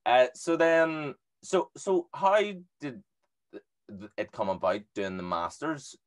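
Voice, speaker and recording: A young man with a deep bass voice, hosting a casual interview over a headset from a small room at home, relaxed and a bit hesitant. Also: takes it slowly. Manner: relaxed, hesitant, with restarts and stammers. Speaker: podcast host. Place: small bedroom studio at home, indoors. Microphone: headset with microphone on a video call.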